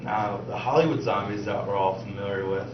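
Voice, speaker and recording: Young male student presenter, fairly low-pitched, informative classroom delivery, roomy noisy recording. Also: a little fast. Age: young adult. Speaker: student giving a class speech. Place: classroom, indoors. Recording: distant mic, roomy echo, dull noisy lo-fi.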